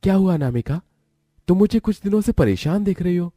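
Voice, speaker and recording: A man acting a caring young husband in a cartoon family story, gentle and upbeat. The voice sits fairly high for a man, with animated intonation. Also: brisk, dry recording, studio quality. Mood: concerned.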